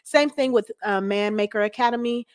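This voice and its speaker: A woman's voice: fairly high pitch, brisk, clear, resonant tone, no room sound, clean studio sound. A middle-aged American woman telling personal stories conversationally as an interview guest. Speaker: interview guest, church first lady and youth mentor.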